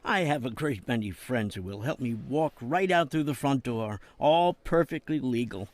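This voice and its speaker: An actor playing a shrewd older politician behind bars in a game cutscene, with an American accent and a wry, coaxing, avuncular manner. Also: male voice, mid-range voice, expressive, moderate pace, dry recording, natural voice. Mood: persuasive, conspiratorial. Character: shrewd, smooth-talking jailed politician, older man, persuasive. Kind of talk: dramatic game cutscene acting.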